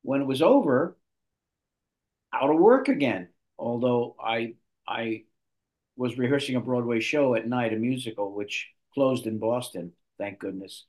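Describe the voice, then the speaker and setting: An older man, a guest actor, telling long stories in an interview with lively restarts. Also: medium pitch, measured pace. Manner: animated storyteller, restarts a lot. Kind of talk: storytelling in an interview.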